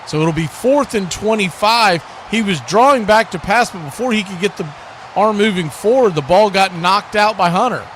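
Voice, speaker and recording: A man with a high, forceful voice calls a football game play by play as a live announcer. There is a clear layer of noise behind him. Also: normal speed.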